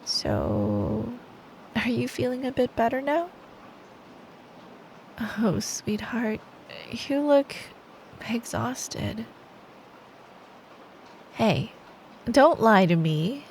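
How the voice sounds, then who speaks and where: A woman with a fairly high voice plays an affectionate girlfriend in an ASMR bedtime story. She speaks slowly and soothingly, with a playful touch. Faint rain noise runs behind her. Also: big pitch swings, vocal fry, low-quality recording. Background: rain ambience.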